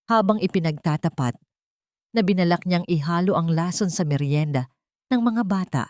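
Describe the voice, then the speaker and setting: A woman narrating a scripted dramatic story in a measured, solemn storytelling manner. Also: fairly low pitch, darkish tone, dry recording, studio quality.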